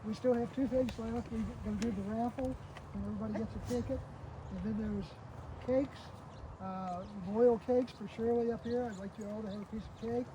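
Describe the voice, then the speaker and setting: A man's voice: very high voice, fairly even intonation, a little fast. Man hosting informally through heavy outdoor noise. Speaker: host of a memorial gathering. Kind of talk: informal spoken tribute and hosting. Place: outdoors in an iris garden. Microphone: distant camera microphone, no working PA. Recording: very noisy outdoor recording without microphone.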